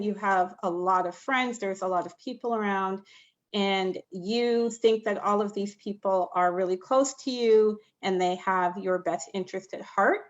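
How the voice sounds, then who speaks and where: A woman speaking as a panellist in an online discussion, giving earnest, emphatic advice. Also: medium pitch. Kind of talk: reflective panel talk. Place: online video call. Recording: dull lo-fi call audio.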